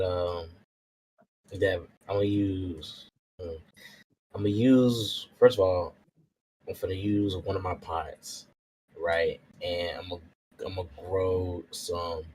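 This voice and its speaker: Deep-voiced man thinking aloud, noisy recording. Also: a little mellow. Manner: thinks aloud, slangy, repeats himself. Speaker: tabletop roleplay player. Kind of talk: casual game chat.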